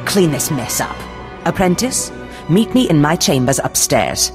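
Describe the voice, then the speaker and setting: Low-voiced, silky Sith lady. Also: sing-song, a little slow, music in the background. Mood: scornful anger. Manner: theatrical, condescending, mock-sweet. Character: poised, menacing female Sith lord, silky and condescending. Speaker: villainous mentor. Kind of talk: video game voice acting.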